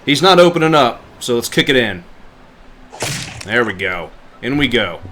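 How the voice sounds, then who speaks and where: A man giving informal live commentary on a video game, reacting out loud as he plays. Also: mid-range voice, big pitch swings, moderate pace, a little hiss or hum. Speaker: game livestreamer. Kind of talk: casual gameplay commentary.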